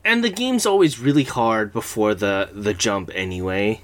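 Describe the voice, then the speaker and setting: A man's voice: on the low side, moderate pace. A man co-hosting a podcast in casual chat, talking eagerly with frequent stammered restarts. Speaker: podcast co-host.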